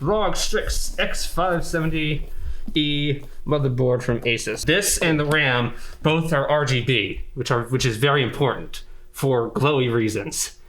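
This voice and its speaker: A man casually explaining computer parts to a friend during a home build, relaxed and chuckling as he goes. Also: medium pitch, a little slow, slight background noise. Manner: laid-back, chuckles while listing parts.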